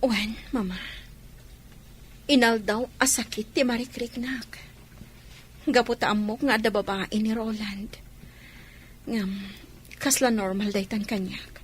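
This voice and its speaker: A woman's voice: fairly high pitch, steady tone, unhurried, long pauses, a little hiss or hum, lightly acted. A woman playing a resigned, long-suffering wife and mother in an Ilocano audio drama, with an Ilocano Filipino accent. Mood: resigned, sad.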